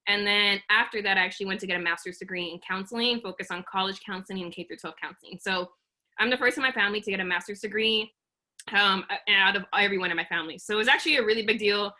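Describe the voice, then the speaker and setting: A woman's voice: medium pitch, quick pace, pushed and bright, poor audio. A woman in her thirties from Oakland, earnestly presenting a career webinar over a video call, with frequent um's and restarts. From Oakland, California. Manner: earnest, uses many fillers and restarts.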